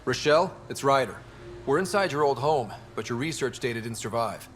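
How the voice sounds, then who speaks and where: A man's voice: medium pitch, expressive, brisk, background noise, lightly acted. A man playing a young, upbeat and determined space explorer in a video game. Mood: matter-of-fact.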